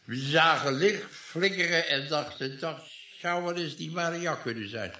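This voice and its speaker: A man's voice: a little high, measured pace, pushed and bright. An actor playing a tipsy, grumbling village fisherman, defiant and mocking in colloquial speech, in a radio play. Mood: indignant, defiant. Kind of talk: Dutch radio drama acting. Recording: echoey radio-play sound.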